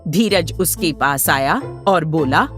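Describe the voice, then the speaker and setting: A woman's voice: deep voice, expressive, slow, fairly bright tone, soft background music. A woman narrator telling a scripted moral story expressively.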